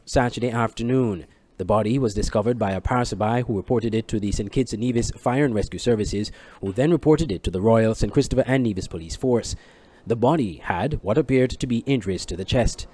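A man's voice: mid-range voice, a little fast, warm tone. A man reading scripted news reports as a reporter.

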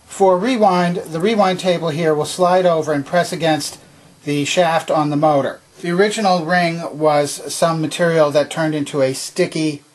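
A man with a somewhat high, husky voice gives an instructional demonstration. He explains each part methodically, one step after another, as a presenter showing how something works. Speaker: presenter demonstrating vintage tape recorder. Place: indoor home workbench.

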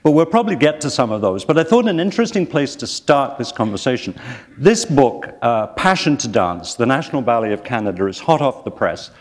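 A man's voice: medium pitch, very animated, a little fast. Older man interviewing onstage in a hall. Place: library event hall with audience. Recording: hall with long reverb.

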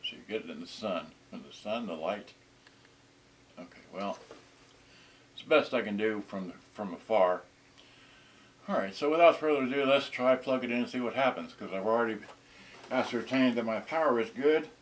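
A man in his sixties talking casually and haltingly, muttering to himself as he demonstrates something to a camera a short distance away in a small indoor room. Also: lowish voice, lively intonation, slight background noise. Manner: halting, muttering to himself while handling items. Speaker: vintage electronics unboxing vlogger. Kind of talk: casual off-the-cuff demonstration talk.

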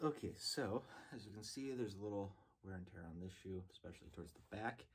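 Low-voiced young man vlogging casually. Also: fairly quick, soft background music. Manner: relaxed, rambling, with fillers like uh and um. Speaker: skateboarding vlogger. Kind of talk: casual vlog talk to camera. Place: small bedroom, indoors.

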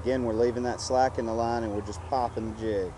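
A man's voice: mid-range voice, fairly even intonation, fast talker, dark tone. A middle-aged man casually explaining fishing tips step by step from a boat on a lake, picked up by a camera mic a few feet away. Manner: easygoing, explains step by step with restarts. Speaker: fishing instructor. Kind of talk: casual how-to talk while fishing. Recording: wind and water noise behind.

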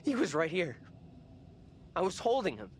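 A man's voice: high-pitched, wildly swooping pitch, normal speed, slight background noise. An actor playing a rattled, sarcastic young man in a horror game, blunt and naturalistic. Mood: shaken, angry.

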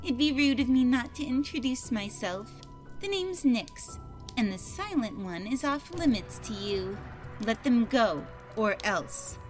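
A woman's voice: a little high, sing-song, a little slow, soft background music. A woman playing a confident, teasing catgirl mage in a visual novel. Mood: cocky. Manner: teasing, self-assured.

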